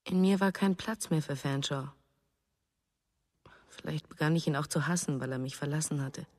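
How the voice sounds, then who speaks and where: Grieving young wife for radio drama: deep female voice, restrained, reverberant sound. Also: normal speed, darkish tone. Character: grieving, restrained young mother with a missing husband. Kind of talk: German radio drama acting.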